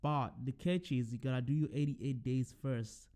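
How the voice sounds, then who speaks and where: A young man born in Zimbabwe talks loosely into his own podcast mic, his voice mellow and smooth. He wanders and restarts, with plenty of ums and quick corrections. It sounds like a relaxed solo chat. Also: mid-range voice. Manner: rambling, many fillers and self-corrections. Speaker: podcast host. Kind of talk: casual solo monologue.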